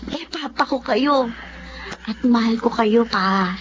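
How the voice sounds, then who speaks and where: High-voiced, devoted Filipino daughter. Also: female voice, slow, background noise. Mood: tender, devoted. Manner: gentle, coaxing, earnest. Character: devoted, tender young daughter caring for sick father. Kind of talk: Tagalog radio drama acting. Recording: muffled radio drama audio, faint music.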